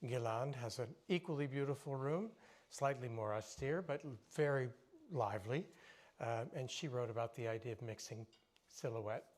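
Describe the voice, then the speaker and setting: A man's voice: mid-range voice, normal speed. Man, mid-range voice, moderator, conversational, asks questions, light fillers. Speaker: moderator and author. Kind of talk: panel discussion.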